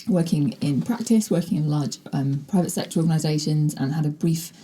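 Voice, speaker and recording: Woman in her 40s on a podcast, lots of ums, mellow lowish voice. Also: slight background noise, low-quality recording. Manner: hesitant, halting, many filler sounds. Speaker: podcast guest. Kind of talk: casual interview. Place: podcast studio.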